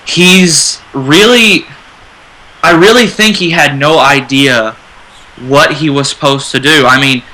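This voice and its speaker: A man's voice: fairly high pitch, sharp tone, low-quality recording. A man in a casual fan discussion, explaining his view and rewording as he goes. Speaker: fan radio panelist.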